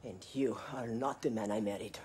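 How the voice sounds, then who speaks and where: An actress playing a gentle, protective farm wife and mother, speaking in careful, formal phrases in a dramatic video game. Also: very deep voice, normal speed, a little hiss or hum. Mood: bitter, defiant.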